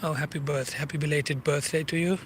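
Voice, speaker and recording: A man's voice: on the high side, fairly even intonation, brisk, a little hiss or hum. A man in his forties, not a native English speaker, narrating a riverside walk in a rambling way and often searching for words. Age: 40s. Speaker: walking vlogger. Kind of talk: casual walking commentary. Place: outdoors by a river.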